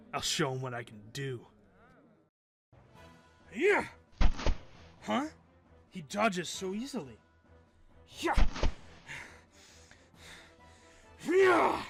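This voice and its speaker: A man's voice: high voice, huge pitch swings, drawn-out words, creaky, long pauses, faint music behind, exaggerated voice. A man playing a hot-headed young male fighter who talks brashly and impatiently, in a fan radio drama. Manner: impatient, brash, shouts battle cries.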